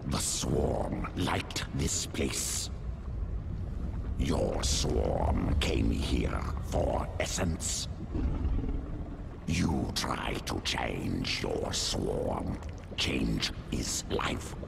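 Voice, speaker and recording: Extremely deep, gravelly ancient alien beast. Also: male voice, big pitch swings, noisy background, heavily acted. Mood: blunt, probing. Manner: slow, terse, odd simple phrasing. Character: ancient primal alien beast, blunt, curious about essence. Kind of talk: video game character acting.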